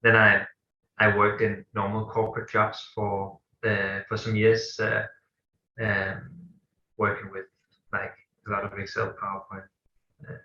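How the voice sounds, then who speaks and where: A man's voice: lowish voice, monotone, a little slow, pauses often, pushed and bright, slight background noise. A man from Denmark with a Danish accent, answering interview questions in his thirties, hesitant and full of fillers. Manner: hesitant, many fillers and restarts. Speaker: guest, company co-founder. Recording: echoey room, dull lo-fi sound.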